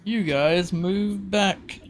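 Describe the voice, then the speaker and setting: A man narrating a tabletop game casually as game master, improvising in a halting way. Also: high-pitched, noisy background.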